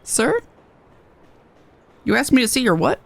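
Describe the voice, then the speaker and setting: A woman's voice: a little high, sing-song, unhurried, vocal fry, no room sound. A woman streaming a game, playfully commenting and reading dialogue aloud in a casual style. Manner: playful, joking. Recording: music behind the voice.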